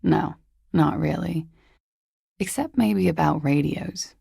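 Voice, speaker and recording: Casting: a secretive young female disco dancer in a game, coy and understated, very gravelly fry, close dry sound. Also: deep voice, takes it slowly, mellow, no room sound, full character voice. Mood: coolly evasive. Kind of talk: video game voice acting.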